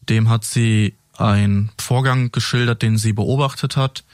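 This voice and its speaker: A man's voice: on the low side, fairly even intonation, measured pace, no room sound. The bilingual French-German policeman invited into a tight, dry podcast studio, a man carefully laying out a case piece by piece for the listeners. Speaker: police officer, interview guest. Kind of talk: recounting a case in a studio interview.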